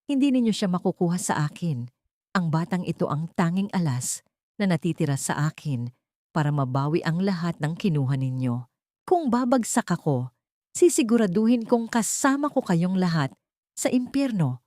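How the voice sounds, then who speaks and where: Elderly villainess, vengeful and threatening, dark dry tone woman narrator acting. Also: fairly low pitch, very animated, normal speed, no room sound. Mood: menacing. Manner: threatening, dramatic. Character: vengeful elderly matriarch villain, desperate and menacing.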